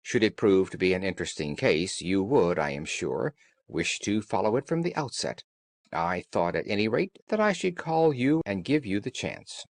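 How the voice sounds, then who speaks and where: Brisk, courteous gentleman detective, acted by a man, animated. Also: lowish voice, no room sound. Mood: brisk, apologetic. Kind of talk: audiobook character dialogue.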